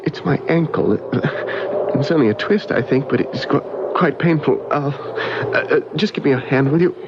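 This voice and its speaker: An anxious young man, played by an actor in an old radio mystery. His voice is on the high side and falters. The recording is dull, with clear noise over it. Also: moderate pace, natural voice. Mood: pained. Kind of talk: 1970s radio mystery acting. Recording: dull, noisy vintage radio broadcast.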